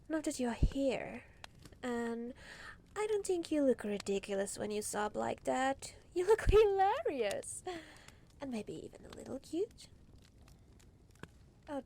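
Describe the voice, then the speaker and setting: A woman voicing a haughty, teasing demon lord in an ASMR roleplay, condescending and theatrical, with breathy murmurs. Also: high-pitched, mellow, slight background noise, heavily acted. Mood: teasing, amused.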